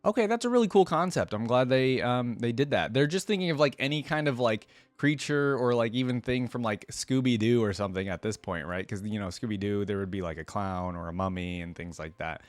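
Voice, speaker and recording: A man's voice: mid-range voice, fairly quick, few pauses, no room sound. A man hosting a reaction video, giving casual, informal off-the-cuff commentary and thinking aloud as he goes. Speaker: video host reacting to game content.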